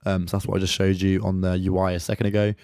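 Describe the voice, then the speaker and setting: A man with a croaky voice from a sore throat, casually walking through his code in a screen-share, loose and conversational with lots of filler words. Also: sits low, monotone, fast talker, darkish tone, no room sound, studio quality.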